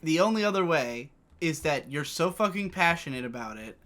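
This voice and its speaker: A man's voice: on the high side, expressive, moderate pace, fairly bright tone, slight background noise. A young man in his twenties chatting casually as co-host, rambling with restarts and casual swearing. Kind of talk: casual chat.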